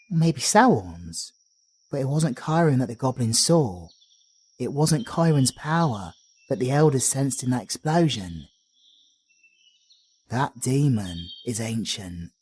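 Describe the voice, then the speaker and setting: A man's voice: medium pitch, warm tone, husky. A young man with a British accent, voicing a friendly, chatty male traveler companion who rambles and uses matey slang in an audio roleplay.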